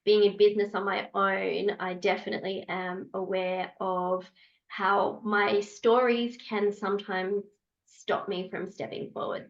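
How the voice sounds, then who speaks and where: A woman who runs a small business and teaches yoga, introducing herself earnestly to a group, pausing often to find her words. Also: medium pitch, muffled recording. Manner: earnest, searching, many pauses and fillers.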